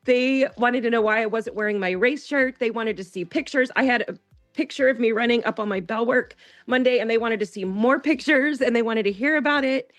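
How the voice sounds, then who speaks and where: A woman's voice: on the high side, brisk, soft background music. A woman talking casually as an interview guest, enthusiastic, often repeating and restarting her phrases. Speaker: podcast guest, school teacher.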